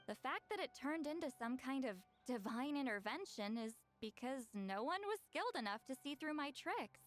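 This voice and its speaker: Game shaman, youthful higher register, proud and aloof with a soft heart, measured delivery. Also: expressive, faint music behind. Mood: defensive pride. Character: proud, aloof two-hundred-year-old shaman woman who looks young. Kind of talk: video game voice acting.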